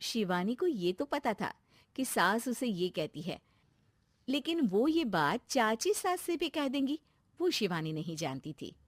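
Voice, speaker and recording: A woman's voice: a little high, sing-song, measured pace, slight background noise. A woman reading scripted story narration.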